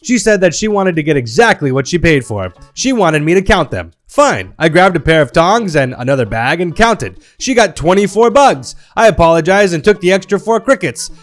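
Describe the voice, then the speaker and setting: Lively man reading stories, animated pitch. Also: moderate pace, few pauses. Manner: lively, playful storytelling with a wry tone. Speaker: narrator reading Reddit stories.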